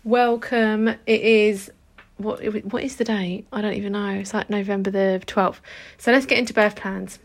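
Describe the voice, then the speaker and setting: A woman with a croaky, gravelly voice that stays fairly flat in pitch. She talks in a chatty, informal way and swears now and then. She hosts a casual solo podcast as a hypnobirthing teacher. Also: normal speed.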